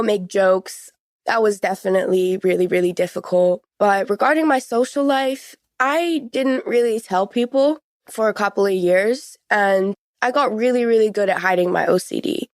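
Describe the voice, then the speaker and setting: A woman's voice: medium pitch, normal speed, dry recording, clean studio sound. A woman in her late teens giving a conversational personal account as a podcast guest.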